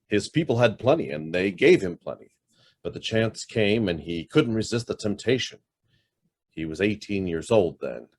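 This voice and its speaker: Guarded middle-aged businessman in a radio play, acted by a man; lively, swinging intonation, clipped and haunted by guilt. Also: fairly low pitch. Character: guarded, brusque investment firm boss hiding a guilty past. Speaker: actor. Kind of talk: radio drama acting.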